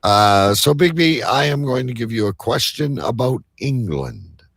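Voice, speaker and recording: A man's voice: mid-range voice, very animated, unhurried, fairly bright tone, breathy edge, no echo, studio quality. A man hosting a live quiz show, announcing to the audience like a showman. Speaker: game show host.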